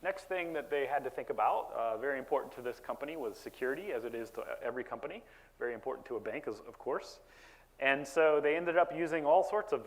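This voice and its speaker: A man giving a conference talk about software deployment to a live audience, explaining with frequent fillers and restarts and a naturally loud voice. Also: medium pitch. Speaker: conference presenter. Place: conference session room.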